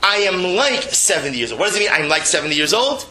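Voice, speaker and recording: High, bright-voiced man teaching religious text. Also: sing-song, quick pace. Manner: emphatic, repeats phrases, rhetorical questions. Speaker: rabbi giving a Torah class. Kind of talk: religious text lecture, reading and translating.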